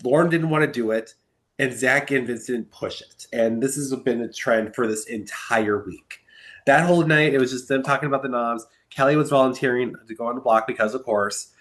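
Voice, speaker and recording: A man's voice: mid-range voice, a little fast. A young man hosting a solo podcast, talking through a reality show's week in a rambling, exasperated way while stuffed up from a cold. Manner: rambling, animated, exasperated asides. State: congested from a cold. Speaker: podcast host. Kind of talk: solo recap commentary.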